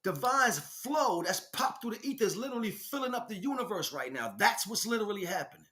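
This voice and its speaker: A man's voice: high-pitched, sing-song, brisk, pushed and bright. An American man delivering an informal spiritual monologue as a teacher, speaking emphatically in streetwise slang and addressing listeners directly.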